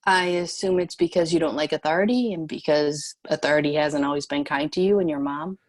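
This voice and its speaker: A woman playing a guarded but caring leader in a screenplay table read, measured and matter-of-fact. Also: low-pitched. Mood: impatient, guarded.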